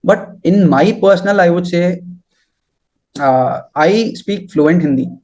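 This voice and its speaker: A young man answering interview questions and giving advice in halting, deliberate phrases. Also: high voice, darkish tone, rough recording. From southern India.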